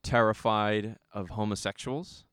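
A man's voice: on the low side, steady tone, fairly bright tone, smooth, dry recording. A man chatting casually, asking questions, agreeing eagerly and adding his own travel stories. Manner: curious, quick to agree, chatty asides. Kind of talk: casual chat.

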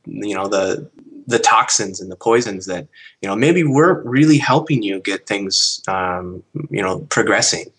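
A man in his late twenties from the United States, a guest on an interview podcast, calm with dry jokes. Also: lowish voice, normal speed, breathy edge, poor audio. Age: 20s. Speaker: guest artist. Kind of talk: casual interview chat.

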